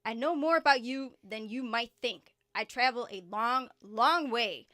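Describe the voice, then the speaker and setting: A woman's voice: fairly high pitch, unhurried, bright, forceful tone. A woman playing a composed, earnest secret government agent in an audio drama, formal, deferential and insistent. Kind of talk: amateur audio drama acting.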